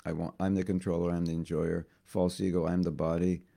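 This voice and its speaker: Hoarse, gravelly low-voiced man. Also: level tone, dark tone. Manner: halting, repeats words while thinking. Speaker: spiritual teacher. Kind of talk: devotional class talk.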